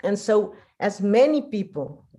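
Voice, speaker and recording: A woman with a Colombian Spanish accent shares personal memories without a script. She speaks slowly at a medium pitch. Her delivery is halting, as she pauses and restarts to find words in her second language. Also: very animated, mellow. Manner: halting, searching for words in non-native English. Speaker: guest speaker. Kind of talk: unscripted personal storytelling.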